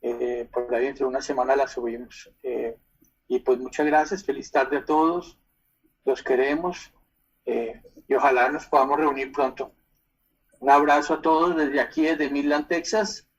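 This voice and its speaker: A man's voice: medium pitch, fairly even intonation, moderate pace, husky. A man hosting an online club meeting, wrapping up with hesitant remarks full of fillers. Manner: warm, hesitant, many fillers. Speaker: club host. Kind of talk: closing remarks on video call. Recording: dull, lo-fi call audio.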